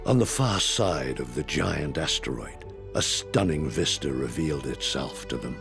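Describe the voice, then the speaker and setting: An actor narrating a science fiction audio drama as a grave storyteller. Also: male voice, rumbling low, expressive, moderate pace, gravelly, exaggerated voice. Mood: solemn. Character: grave male narrator of a space adventure. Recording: music under the voice.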